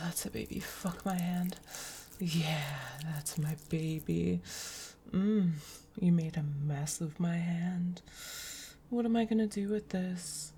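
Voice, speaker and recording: A woman murmurs in a close, breathy whisper, drawn out and teasing. Her low voice is dark and mellow with a gravelly fry, and a faint hiss of noise sits behind it. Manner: teasing, possessive, slow and lingering. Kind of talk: whispered intimate audio roleplay.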